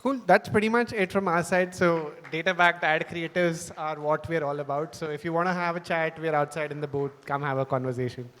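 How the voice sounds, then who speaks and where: A man's voice: a little high, steady tone, brisk, hardly any pauses, fairly bright tone. Casual male presenter in a hall. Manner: casual, filler-heavy questions. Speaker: startup presenter. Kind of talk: onstage interview. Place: large event hall. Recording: reverberant hall PA sound.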